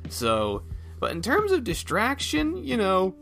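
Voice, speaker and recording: A man's voice: high voice, huge pitch swings, slow pace, vocal fry, a bit bright, soft background music. A man talking casually on his own as a podcast host, thinking aloud in a folksy, self-effacing way. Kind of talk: casual solo rambling chat.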